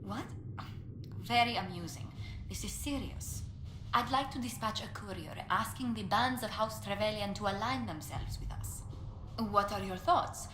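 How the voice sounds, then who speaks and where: A woman's voice: medium pitch, normal speed, fairly bright tone, a little hiss or hum, natural voice. A woman playing a poised, businesslike diplomatic advisor in a video game, formal, polite and precise. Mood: businesslike, tactful. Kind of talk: video game dialogue acting. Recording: echoey game capture, clear background ambience.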